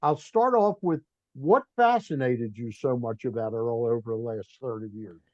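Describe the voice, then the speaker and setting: Blunt talk-radio host, dry dull sound. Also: male voice, medium pitch, normal speed, dark tone, no echo. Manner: blunt, conversational, reads sponsor plugs. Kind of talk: casual interview chat.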